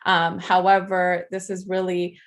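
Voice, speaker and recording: Gravelly-voiced woman giving a talk. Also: on the low side, completely flat delivery, unhurried. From Afghanistan. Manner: many filler ums and restarts, earnest. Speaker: nonprofit advocate speaking to a political club. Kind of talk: informal presentation at a meeting.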